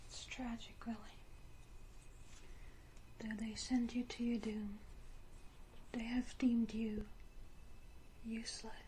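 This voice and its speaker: A woman playing a sinister, wounded yet condescending female vampire in a whispered ASMR roleplay, speaking deliberately with theatrical pauses. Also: a little high, level tone, mellow, a little hiss or hum, rough recording. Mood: mocking pity. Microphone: close ASMR microphone.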